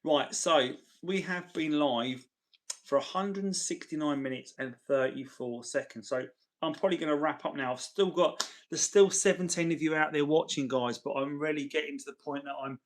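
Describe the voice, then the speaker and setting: A man hosting a casual livestream, chatting chummily with viewers, his voice tired and getting gravelly. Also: on the high side, moderate pace. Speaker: livestream host. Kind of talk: casual livestream chat, reading and answering viewer messages.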